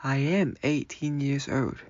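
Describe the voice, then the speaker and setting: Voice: mid-range voice, measured pace, highs cut off, very noisy, natural voice. An actor in a radio drama playing an earnest, stubborn male college freshman who appears elderly, speaking plainly. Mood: insistent. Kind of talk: amateur radio drama acting.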